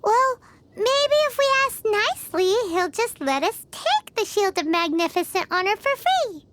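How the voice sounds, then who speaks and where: A woman voicing a tiny, blunt, excitable fairy companion in a video game. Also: measured pace, background noise, exaggerated voice. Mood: chatty. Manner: blunt, squeaky, exclamatory. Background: game music.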